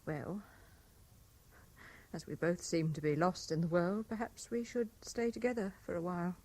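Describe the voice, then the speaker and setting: A woman's voice: lowish voice, steady tone, normal speed, dark tone, natural voice. An actress playing a weary, coolly sceptical young Frenchwoman, polite and reserved, in 1970s radio drama style. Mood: sceptical, resigned.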